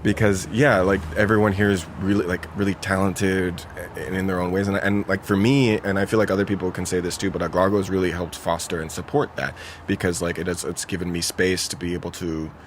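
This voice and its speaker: A man's voice: low voice, slight background noise. A man talking casually in an interview. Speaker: resident of a collective house, interviewee.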